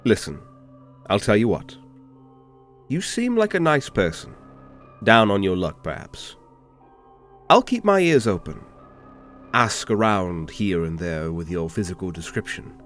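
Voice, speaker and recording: A man playing a refined, polite, world-weary information dealer with theatrical, hesitant pauses in a fantasy audio roleplay. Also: fairly low pitch, sing-song, a bit bright, soft background music. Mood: apologetic, sympathetic.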